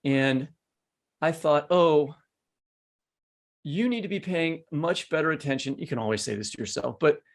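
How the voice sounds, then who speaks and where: A man's voice: on the high side, expressive, moderate pace, pauses often. A man in his fifties hosting a conversational literary interview, rephrasing as he goes. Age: 50s. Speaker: podcast host interviewing an author.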